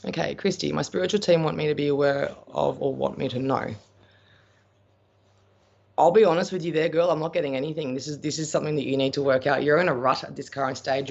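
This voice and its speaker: Gravelly Australian man, blunt and chatty. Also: mid-range voice. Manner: blunt, chatty, calls viewers pet names. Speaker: psychic medium. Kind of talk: live-stream reading of viewer questions. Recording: dull lo-fi stream audio.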